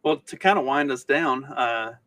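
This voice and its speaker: A man's voice: mid-range voice, moderate pace, sharp tone, no echo. Wry male podcaster, close and dry sound. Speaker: podcast co-host. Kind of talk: casual comedic podcast banter.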